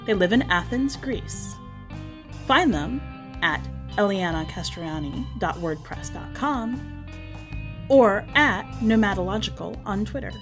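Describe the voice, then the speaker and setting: A woman, the podcast's editor, reading a short story aloud in measured scripted narration. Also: medium pitch, expressive, music in the background.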